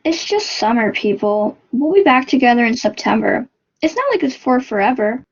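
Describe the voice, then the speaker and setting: A breezy, teasing schoolgirl acted by a woman reading a storybook. The voice is fairly high, and the recording sounds dull. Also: animated, normal speed, husky.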